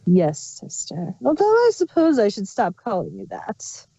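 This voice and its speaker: A woman's voice: medium pitch, wildly swooping pitch, measured pace, warm tone, breathy edge, exaggerated voice. A woman playing a sly, wistful changeling queen villain in a fan fiction reading. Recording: muffled, roomy home recording.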